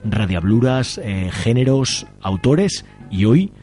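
A man's voice: on the low side, lively intonation, slow pace, dark tone, soft background music. A man with an accent from Spain chatting casually as co-host of a radio show.

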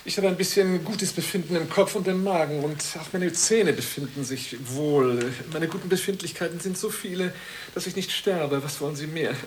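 An actress playing a contrary, plaintive invalid with great earnestness in an absurdist radio comedy. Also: female voice, low voice, normal speed, slight background noise, close to an everyday voice. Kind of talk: 1980s German absurdist radio comedy acting.

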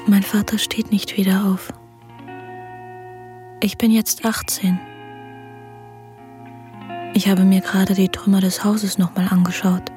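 An actress playing a bereaved, lost eighteen-year-old in a poetic radio drama, solemn and breathy, repeating phrases. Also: female voice, mid-range voice, flat delivery, slow pace, pauses often, a little mellow, faint music behind, close to an everyday voice. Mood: numb grief.

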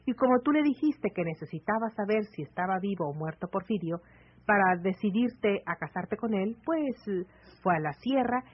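A woman's voice: mid-range voice, lively intonation, moderate pace, husky. Composed Mexican woman, lo-fi radio. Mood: firm. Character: composed, caring woman advising a close friend. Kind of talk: Mexican radio serial acting. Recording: muffled, lo-fi old AM radio recording.

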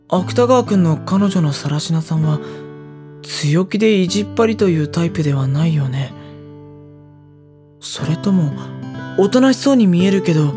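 A woman playing a gentle, diffident high school boy with a boyish voice in an anime audio drama. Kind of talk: anime audio drama dialogue and inner monologue.

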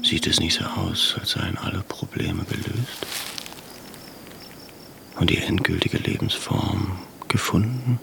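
A scholarly father in his thirties for a radio drama. His voice is low and creaky, introspective yet gently strict. Faint outdoor ambience sits behind him. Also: noisy background, exaggerated voice. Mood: brooding. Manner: slow, halting, introspective.

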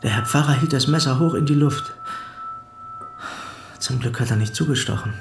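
Actor as an excitable, flustered male witness in echo. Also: mid-range voice, moderate pace, gravelly, natural voice. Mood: shaken, recounting. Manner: dramatic retelling, mimics shouted quotes. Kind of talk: radio drama acting. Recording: echoey room, music behind.

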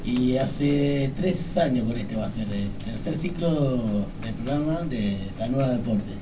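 A man's voice: mid-range voice, lively intonation, a little slow. An Argentine man giving casual interview answers as a radio sports commentator, hesitant, repeating words and often adding verbal tags. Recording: noisy room, distant microphone.

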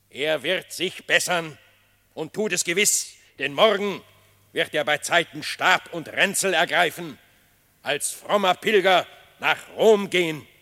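A man's voice: a little high, bright, forceful tone, exaggerated voice. An actor playing a stately lion king holding court, speaking in a solemn, proclaiming radio play manner. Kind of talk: classic radio play acting. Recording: long hall reverb.

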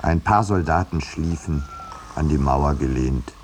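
An actor playing a mild, politely ironic landscape painter in a 1950s German radio play, speaking in a dry, courteous way. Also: male voice, extremely low pitch, unhurried, slight background noise. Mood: wry narration.

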